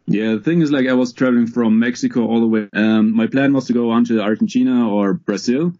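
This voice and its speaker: A man, a guest in a casual interview, talking in a relaxed way with many fillers. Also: medium pitch, fairly quick, dull audio. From Germany.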